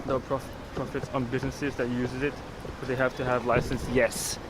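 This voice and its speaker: Chatty streamer walking outdoors with noise behind. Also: male voice, mid-range voice, little pitch movement, moderate pace. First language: not Swedish. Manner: chatty, slangy, talks to chat. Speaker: livestreamer. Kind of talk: casual live-stream chat while walking. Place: outdoors by the water, wind and rain.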